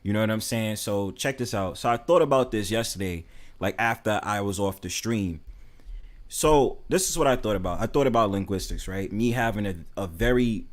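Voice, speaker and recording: A man's voice: lowish voice, moderate pace. A young man with a New York accent, talking casually and bluntly to his stream, full of fillers and swearing. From New York City. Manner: rambling, heavy fillers, blunt and profane.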